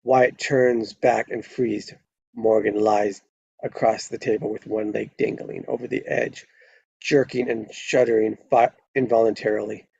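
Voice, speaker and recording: A man's voice: lowish voice, flat delivery, unhurried, creaky, darkish tone, breathy edge, no echo, highs cut off. A man narrating a screenplay at a live table read, stumbling over words now and then and correcting himself.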